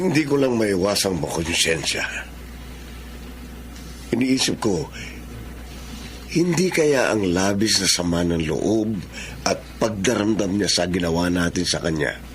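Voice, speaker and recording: Low-voiced, guilt-ridden secret lover. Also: male voice, animated, measured pace, long pauses. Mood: guilty, troubled. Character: guilt-ridden man in a secret love affair. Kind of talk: Tagalog radio drama acting. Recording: faint background noise.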